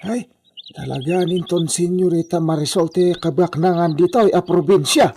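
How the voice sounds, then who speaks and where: High-voiced deferential Ilocano priest. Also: male voice, moderate pace, warm tone, slight background noise. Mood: admiring. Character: deferential priest flattering the rancher. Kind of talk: Ilocano radio drama acting.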